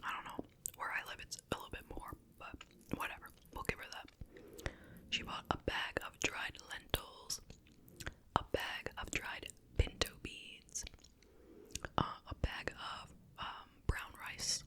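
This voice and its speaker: A person whispering in a breathy, unvoiced voice, chatting casually and without hurry as an ASMR host during a meal. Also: sharp tone. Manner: casual, unhurried rambling while eating. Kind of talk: whispered ASMR chit-chat mukbang.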